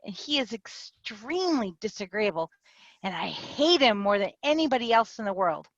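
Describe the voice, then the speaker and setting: A woman's voice: mid-range voice, normal speed, dry recording. A woman reading the part of a vain, fickle young woman weighing a marriage offer, in a dramatic reading over a video call. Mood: giddy. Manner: giddy, changeable, animated delivery. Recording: dull lo-fi video call audio.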